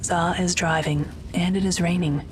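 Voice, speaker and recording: A woman's voice: fairly low pitch, fairly even intonation, moderate pace, a little hiss or hum. A woman reading scripted, present-tense narration for an audio drama.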